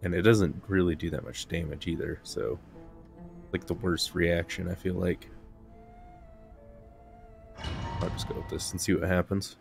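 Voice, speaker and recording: A man's voice: low-pitched, fairly even intonation, unhurried, gravelly, long pauses, over music. A man doing casual gameplay commentary, muttering and thinking aloud as he plays. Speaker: game streamer.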